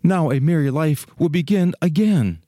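A man's voice: fairly high pitch, animated, moderate pace, mellow, no room sound, studio quality, natural voice. A man playing a wistful fir tree in an audio storybook, with a sighing, old-fashioned way of speaking. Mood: hopeful. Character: wistful fir tree, regretful yet eager, male.

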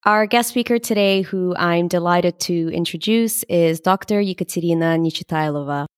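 Dry-sounding low-voiced young woman host. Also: clean tone, no echo. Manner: earnest, enthusiastic, measured. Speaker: podcast host and producer. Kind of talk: scripted introduction then casual interview.

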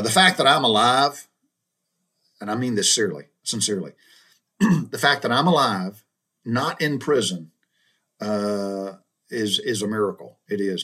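A man's voice: lowish voice, fairly bright tone. A middle-aged man, hosting a podcast and telling childhood stories in a rambling, folksy way with chuckles and mild cursing. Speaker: podcast host. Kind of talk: casual storytelling monologue.

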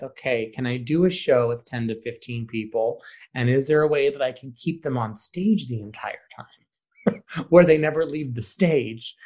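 A man of medium pitch speaks as a theater director being interviewed. His delivery is conversational and animated, and he slips into little bits of acted-out dialogue as he explains. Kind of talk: casual interview talk.

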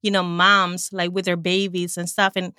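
Bright-voiced woman chatting as a guest. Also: fairly low pitch, flat delivery, moderate pace, no room sound, studio quality. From Colombia. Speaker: podcast guest. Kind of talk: casual chat.

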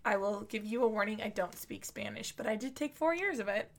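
A young woman in casual chat, telling animated stories and laughing often. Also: medium pitch, fairly quick. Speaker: podcast host.

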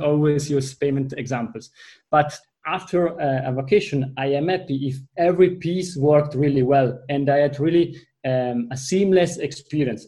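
A man with an Italian accent whose first language is Italian, speaking as a guest in a business discussion and explaining his points through long, halting examples. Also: fairly high pitch, mellow. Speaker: panel guest.

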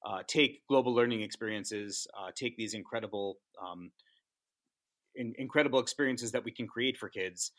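A man talking about teaching as a podcast guest, animated and passionate, often asking "right?". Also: on the low side, normal speed, dry recording. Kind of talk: casual interview chat.

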